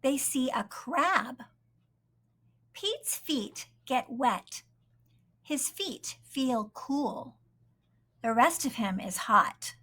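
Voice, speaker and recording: Female storybook reader, highish pitch, very slow, expressive, careful enunciation for young children. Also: slightly rough. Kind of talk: children's picture-book read-aloud.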